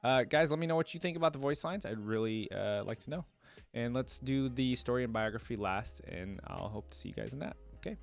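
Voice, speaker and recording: A man's voice: mid-range voice, fairly quick, gravelly, faint music behind. A man giving casual, rambling reaction commentary as a video host, often checking in with the listener. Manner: rambling, checks in with "right?" and "you know".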